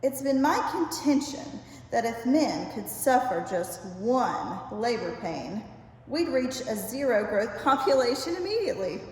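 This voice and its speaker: Older lady reading a funny essay, kinda high voice, super echoey room with long reverb. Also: big pitch swings, measured pace, slight background noise. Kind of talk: reading a humorous essay aloud.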